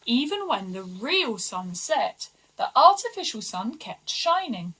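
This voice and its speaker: A woman narrates a children's story in a fairly high, very expressive voice. She takes her time, placing deliberate emphasis on phrases for dramatic effect. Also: husky, rough recording. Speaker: story reader for schoolchildren.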